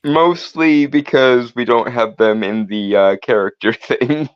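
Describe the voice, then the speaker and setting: A man's voice: medium pitch, measured pace, smooth, dry recording. A man chatting casually as a player on a roleplay livestream, putting in short remarks, heard in slightly dull sound. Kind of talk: casual chat, short interjections.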